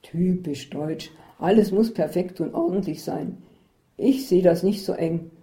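A woman reading the lines of an easygoing, teasing male traveling companion in a storybook reading, in a calm, matter-of-fact way. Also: on the low side, measured pace, close to an everyday voice.

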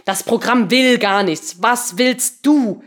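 A woman's voice: high-pitched, expressive, moderate pace, pitched above the performer's own voice. A woman narrator voicing an angry, demanding woman programmer in an audiobook, with an emphatic, confrontational delivery. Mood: furious, shouting.

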